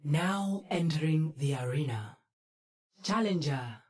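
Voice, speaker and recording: An actress voicing a calm, formal video game announcer in clipped, impersonal phrases. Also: female voice, low voice, slow pace, husky, full character voice. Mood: calm, official.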